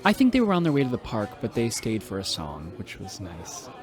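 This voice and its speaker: An actor playing a genteel, wistful space priest, speaking in a mild, courteous and slightly formal manner. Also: male voice, on the low side, wildly swooping pitch, a little fast, faint music behind, a faint crowd.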